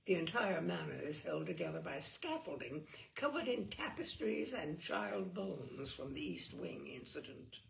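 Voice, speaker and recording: A woman's voice: on the low side, huge pitch swings, a little slow, vocal fry, hardly any pauses. A woman giving an arch, theatrical, mock-serious reading of a humorous book. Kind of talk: theatrical reading aloud of comic prose.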